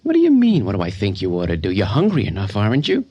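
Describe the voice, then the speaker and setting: An actor playing the blunt, taunting, impatient inner self of a grieving young man in a radio drama, with a husky voice. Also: on the low side, huge pitch swings, fast talker, warm tone, highs cut off, full character voice. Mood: impatient goading. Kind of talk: old-time radio drama acting.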